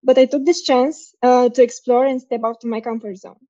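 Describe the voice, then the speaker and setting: Young woman from romania sharing her career journey, soft mellow voice, muffled call audio. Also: a little high, fairly even intonation, fairly quick. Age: young adult. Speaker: software engineer. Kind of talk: personal career story talk.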